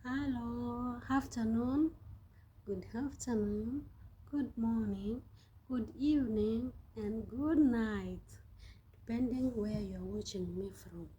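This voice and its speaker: Slow-talking woman vlogger, warm voice. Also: on the high side, highs cut off, slight background noise. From Kenya. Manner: chatty, repeats and restarts phrases, laughs often. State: sweating from heat. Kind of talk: casual vlog talk to camera. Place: hot room in the Gulf.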